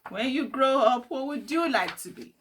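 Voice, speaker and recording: A woman's voice: sits high, lively intonation, normal speed, fairly bright tone, a little hiss or hum. A woman voicing a male schoolteacher in a storybook, addressing a class in a projected, instructing way.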